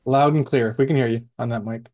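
A man co-hosting an informal show, chatting casually in a halting way and often repeating his words. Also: mid-range voice, fairly even intonation, darkish tone. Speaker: co-host running the muting. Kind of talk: casual chat.

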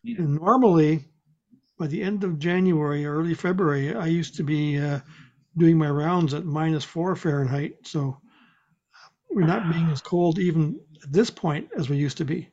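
A man's voice: fairly high pitch, normal speed, a little mellow, dull audio. A man presenting a radio show in casual group conversation, with a dry, joking way of talking.

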